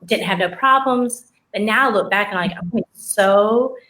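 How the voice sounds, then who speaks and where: A woman's voice: medium pitch, measured pace, fairly bright tone, rough recording. A woman hosting a casual live show, chatty and rambling, often laughing as she talks. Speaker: host.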